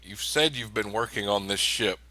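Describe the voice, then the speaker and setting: Very deep-voiced man playing a tabletop game. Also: normal speed, bright, forceful tone. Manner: blunt, with fillers and swearing. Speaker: player. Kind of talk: casual tabletop play.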